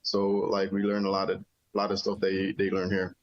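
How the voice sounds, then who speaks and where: A middle-aged man from Jamaica talks through his past in an easygoing, meandering way, full of fillers. His voice sits fairly low, recorded dry and a bit muffled. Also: normal speed, no room sound. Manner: relaxed, rambling with many "you know" fillers. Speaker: interview guest, army veteran. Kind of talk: casual storytelling interview.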